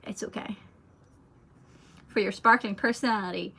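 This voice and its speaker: Bright chatty young woman. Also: mid-range voice, fairly even intonation, normal speed, gravelly, husky. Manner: chatty, rambling, lots of fillers, laughs often. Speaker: singer. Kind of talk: casual livestream Q&A, reading viewer comments.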